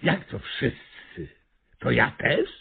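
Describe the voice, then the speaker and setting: A gullible, reluctant male small-town mayor in radio comedy. He stammers slowly in a creaky, husky voice, repeating himself in fluster. The recording is muffled. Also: mid-range voice, huge pitch swings, exaggerated voice. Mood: flustered refusal. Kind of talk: Polish radio comedy acting.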